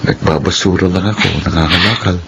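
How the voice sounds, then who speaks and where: A Filipino man acting in a radio drama as a humble, bashful scavenger newly married, gentle and earnest. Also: low voice, a little fast, creaky. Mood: humble, tender. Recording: noisy ambience behind the voice.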